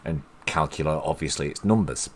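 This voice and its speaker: A man's voice: very deep voice, brisk, a little hiss or hum. A man from England hosting a live stream, chatting casually and rambling, with lots of restarts and fillers. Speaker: stream host. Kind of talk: casual chat.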